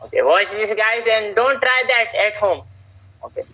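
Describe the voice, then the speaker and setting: A young man from Saudi Arabia, a guest on a casual live stream, talking haltingly with many repeats and fillers. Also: high-pitched, animated, pushed and bright, lo-fi sound. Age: young adult.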